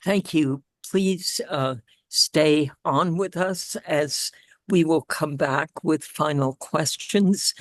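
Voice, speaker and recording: Woman moderator, slow and measured, polite, dry close sound, remote call. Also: low-pitched, no echo. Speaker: briefing moderator. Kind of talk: moderating a news briefing.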